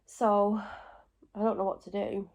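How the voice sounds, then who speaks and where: A woman's voice: medium pitch, level tone, darkish tone. A woman talks through her morning in a loose, rambling vlog, correcting herself as she goes. She is bunged up with a cold, so her voice comes out stuffy and congested. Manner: rambling, talks in quick asides and self-corrections. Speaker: vlogger. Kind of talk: casual chatty vlog. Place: at home.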